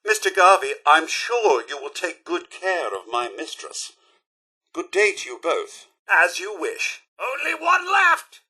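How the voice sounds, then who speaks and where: An actor voicing a prim, loyal robot butler for a video game, with a British accent and formal, servile, theatrical diction. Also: male voice, high voice, bright, forceful tone, husky, heavily acted. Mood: eager, combative.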